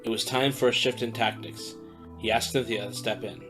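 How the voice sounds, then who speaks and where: A man's voice: medium pitch, steady tone, moderate pace, over music. A man narrating an audio drama in a scripted storytelling style.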